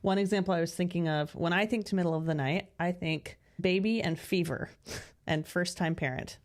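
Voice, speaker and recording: A woman's voice: lowish voice, moderate pace. A woman co-hosting a podcast, talking casually and thoughtfully, often saying "like". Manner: thoughtful, chatty, many "like" fillers. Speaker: podcast co-host. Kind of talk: casual conversation.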